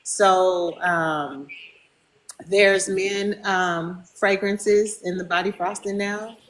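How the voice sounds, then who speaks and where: A woman in her fifties chatting casually as a podcast guest, bubbly and quick to laugh. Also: mid-range voice, fairly even intonation, fairly bright tone, poor audio. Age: 50s. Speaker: guest, body care business owner.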